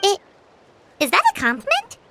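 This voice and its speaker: A woman voicing a small, childlike fairy companion in a video game, cheeky and excitable. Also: very high voice, moderate pace, music in the background, exaggerated voice. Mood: indignant, puzzled.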